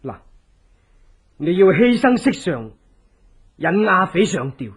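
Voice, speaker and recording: An actor playing a calculating, persuasive plotter in a Hong Kong Cantonese radio drama, speaking deliberately and theatrically. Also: male voice, sits high, big pitch swings, a crowd in the background, exaggerated voice. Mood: scheming, persuasive. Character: calculating male plotter coaching a woman avenger.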